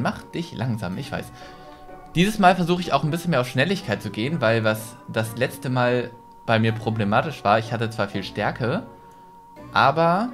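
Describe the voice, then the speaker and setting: A young man giving chatty, casual let's play commentary on a headset mic, thinking aloud as he goes. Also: lowish voice. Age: young adult. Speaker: gaming YouTuber, host. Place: small decorated gaming room, indoors. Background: game music.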